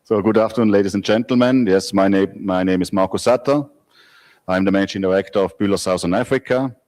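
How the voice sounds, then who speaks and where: A middle-aged man with a Swiss accent, a company director greeting an expo audience in a relaxed, friendly way. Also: low voice, quick pace. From Switzerland. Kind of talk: speech at an event.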